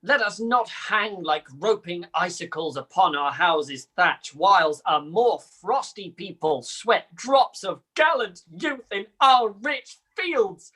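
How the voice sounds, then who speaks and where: A proud, scornful Shakespearean noble. The voice is husky and moves slowly through measured verse in a stage-rehearsal style. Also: female voice, mid-range voice, lively intonation, sharp tone, full character voice. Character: amateur actress reciting a proud Shakespearean French noble.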